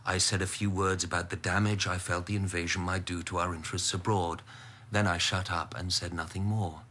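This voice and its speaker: An actor playing a weary, defensive, short-tempered minister in a radio drama, clipped and impatient. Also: male voice, low voice, little pitch movement, brisk, bright, forceful tone, slight background noise. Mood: guarded. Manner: clipped, impatient, self-justifying.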